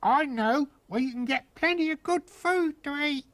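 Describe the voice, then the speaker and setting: A man's voice: very high-pitched, normal speed, a bit bright, no echo, pitched above the performer's own voice. A man voicing a tiny, polite, eager little boy in a fairy tale. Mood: pleading.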